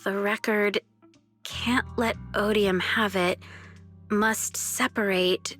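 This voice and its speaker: Confident tech expert, mid-pitched. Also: female voice, steady tone, takes it slowly, fairly bright tone. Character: confident, friendly female tech expert, old comrade of a spy. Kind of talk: video game voice acting. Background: music.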